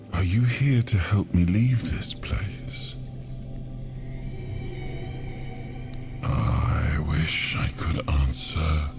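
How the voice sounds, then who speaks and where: Very deep, echoing weary ancient king. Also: heavily acted. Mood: weary, lost. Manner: solemn, slow, brooding. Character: weary ancient king, amnesiac, freed from long imprisonment. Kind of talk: dramatic video game cutscene acting and narration. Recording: echoing hall reverb under prominent music. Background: music.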